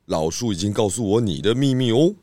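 An actor voicing a calm, confident detective pig who explains the case in a children's radio drama. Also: male voice, fairly low pitch, a little fast, noisy background, close to an everyday voice. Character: calm clever pig detective, male adult. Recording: music bed under voice.

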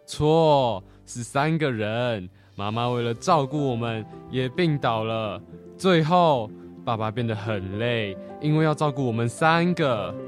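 A man's voice: medium pitch, expressive, a little slow, faint music behind, lightly acted. A teenage boy playing a cheeky, contradicting younger brother in a Taiwanese Mandarin children's radio drama. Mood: correcting, reflective.

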